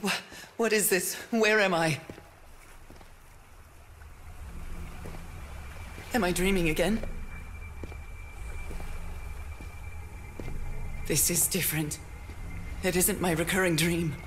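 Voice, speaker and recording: An actress playing an inquisitive, anxious 1930s woman explorer in a video game, thinking aloud haltingly with old-fashioned wonderment. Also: on the low side, fairly even intonation, a bit bright, a little hiss or hum, natural voice. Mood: dazed wonder. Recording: game ambience and effects behind voice.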